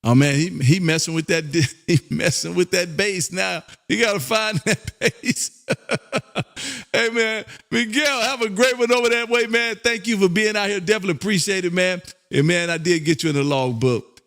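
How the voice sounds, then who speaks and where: A high-pitched American man hosts live ham radio contacts. He talks in a folksy, exuberant style and likes to repeat a phrase to drive it home. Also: normal speed, a bit bright. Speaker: amateur radio host and operator.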